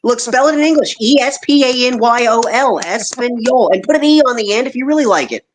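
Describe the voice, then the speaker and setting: A man co-hosting a relaxed online chat, talking in an animated, ranting way. He tells stories and slips into quick voices to mimic other people. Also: extremely high pitch, slight background noise. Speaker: co-host. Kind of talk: casual livestream chat.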